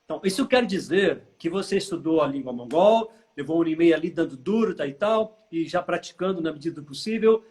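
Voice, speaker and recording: A man hosting a live interview, chatty and prompting, often tagging his questions with a quick "tá?". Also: on the high side, animated, a little mellow. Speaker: interview host, former teacher.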